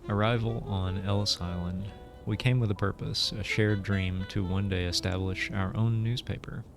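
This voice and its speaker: A man's voice: fairly low pitch, fairly even intonation, normal speed, vocal fry, over music. A man voicing a reminiscing immigrant parent from a publishing family, reading out a game's story. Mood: wistful, proud.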